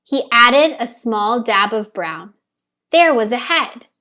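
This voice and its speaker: A woman reading a children's picture book aloud with deliberate, careful phrasing for young listeners. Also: fairly high pitch, animated, sharp tone. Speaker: storybook reader. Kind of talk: children's story read-aloud, scripted narration.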